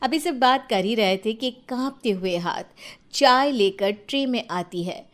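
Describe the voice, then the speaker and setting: A woman narrating a scripted story in an expressive, playful and dramatic way. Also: on the high side, measured pace.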